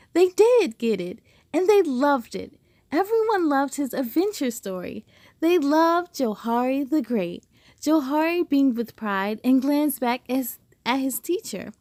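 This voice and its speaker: High-voiced woman reading a children's story. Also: no room sound, studio quality. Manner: animated storytelling with dramatic emphasis. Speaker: storybook reader. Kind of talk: expressive children's read-aloud narration.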